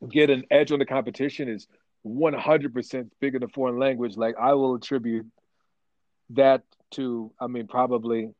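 A man being interviewed about his work as a voice actor, telling stories in a rambling, animated way with lots of restarts. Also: medium pitch, normal speed, dry recording, highs cut off. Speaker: voice actor guest. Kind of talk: casual interview chat.

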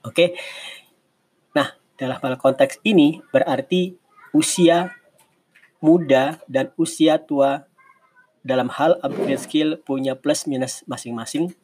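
A man's voice: fairly high pitch, steady tone, slow pace, pauses often, darkish tone, breathy edge. Conversational man in his forties. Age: 40s. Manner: conversational, explanatory, with digressions and self-corrections. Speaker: online mentor answering audience questions. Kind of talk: casual advice talk in a live session.

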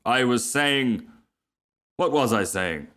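A man acts out a vain male firework rocket from a storybook. He declaims with haughty emphasis and takes offence easily, pitched a little above the narration and pitying himself grandly. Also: animated, slow, a bit bright. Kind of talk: storybook character voice.

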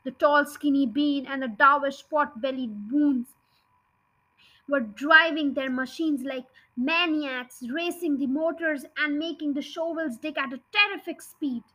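A woman reading a children's story aloud as host, in an expressive, emphatic way. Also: sits high, unhurried, poor audio. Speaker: storybook reader, podcast host. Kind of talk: expressive children's audiobook narration.

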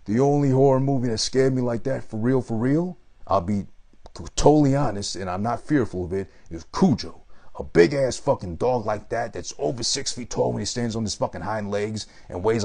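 A man's voice: fairly low pitch, darkish tone, husky. A man giving a rambling, profane movie review with an unusual voice. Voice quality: unusual voice that might put people off. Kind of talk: casual profane movie rant.

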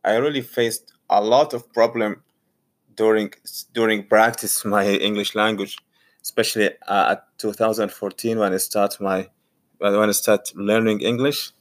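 A man from Saudi Arabia, a graduate student and teacher whose first language is Arabic, talking casually about learning English. He speaks haltingly and often repeats or restarts his phrases. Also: on the low side, fairly even intonation. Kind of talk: casual personal monologue.

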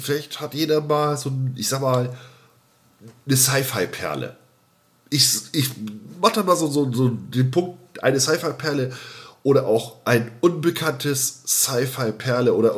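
A man chatting as a podcast co-host in a colloquial, slangy way, often repeating his words. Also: medium pitch. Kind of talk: casual podcast chat.

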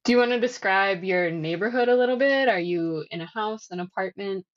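A woman who co-hosts a podcast, reading an introduction and asking her guest measured questions. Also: medium pitch, muffled recording. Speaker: podcast co-host.

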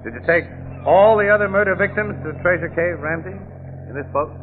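An actor playing a tough, shrewd male crime photographer, brisk and slangy, in an old radio drama. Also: high-pitched, a little hiss or hum, natural voice. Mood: probing. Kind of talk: 1940s radio drama acting. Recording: tinny, band-limited old radio recording.

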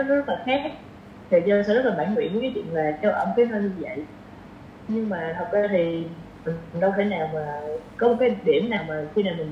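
A woman in her twenties with a southern Vietnamese accent, chatting casually as a podcast guest, hesitant and thinking aloud. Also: mid-range voice. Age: 20s. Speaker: podcast guest, young doctor. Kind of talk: casual chat. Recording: roomy echo, dull sound, light noise.

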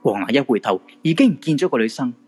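Male narrator, medium pitch, Hong Kong Cantonese, scripted radio drama storytelling, faint music behind. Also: very animated, quick pace, darkish tone, slightly rough.

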